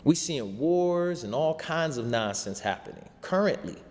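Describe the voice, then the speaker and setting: A man's voice: fairly high pitch, expressive, unhurried. A man giving an impassioned, sermon-like spiritual talk in informal vernacular, with rhetorical repetition. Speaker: show host and spiritual teacher. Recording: long hall-like reverb.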